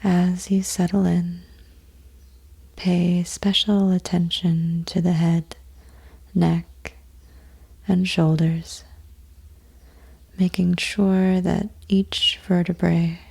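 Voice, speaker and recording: A woman guides a meditation in a low, husky voice that often falls to a breathy near-whisper with a creaky edge. Her delivery is extremely slow and gentle, leaving long pauses between short, soothing phrases. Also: level tone. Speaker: yoga nidra meditation teacher.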